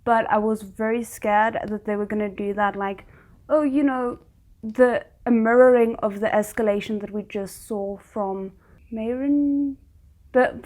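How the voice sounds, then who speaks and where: A woman's voice: on the high side, a little mellow. A woman hosting a reaction video, reacting emotionally and then talking through the episode thoughtfully. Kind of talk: casual reaction commentary.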